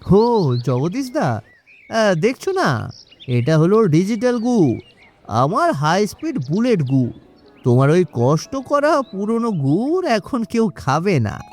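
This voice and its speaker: A man's voice: high voice, big pitch swings, slow, pauses often, a little mellow, exaggerated voice. A man voicing a sly, boastful swindling salesman in a children's cartoon, brash and wheedling. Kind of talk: cartoon story voice acting.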